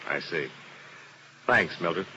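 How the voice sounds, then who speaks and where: A wry, hard-boiled insurance investigator talks and narrates in a dry, laconic way. His voice sits fairly low, and the sound is dull and hissy, like a 1950s radio broadcast. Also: animated, slow, pushed and bright, exaggerated voice. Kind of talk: 1950s radio drama, first-person narration.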